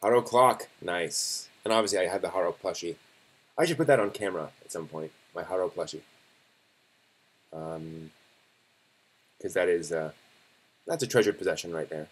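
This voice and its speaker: Male streamer, fairly low voice, casual host delivery, conversational and a bit halting as he thinks aloud. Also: husky. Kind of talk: casual live stream commentary.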